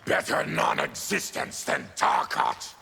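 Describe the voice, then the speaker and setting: An actor voicing a bitter, proud monster warrior in a fighting game, with a harsh raspy growl and grim, formal delivery. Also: male voice, on the high side, wildly swooping pitch, takes it slowly, sharp tone, a little hiss or hum, full character voice. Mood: bitter, brooding. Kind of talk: dramatic video game dialogue.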